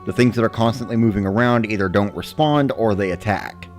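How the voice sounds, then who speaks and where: A man giving a measured, matter-of-fact first-person narration of a scripted story. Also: fairly low pitch, soft background music. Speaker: narrator of a dramatic reading.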